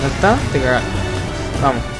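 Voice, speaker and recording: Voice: medium pitch, lively intonation, normal speed, over loud music. A player with a Chilean accent chatting casually during a group video game session, recording the gameplay. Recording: loud game sound over voice chat.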